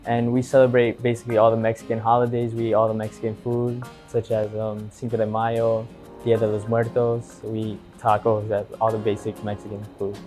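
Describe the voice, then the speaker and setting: Young male athlete from New York City, level even voice, faint music. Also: on the low side, fairly quick. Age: young adult. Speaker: soccer player. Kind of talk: interview talk.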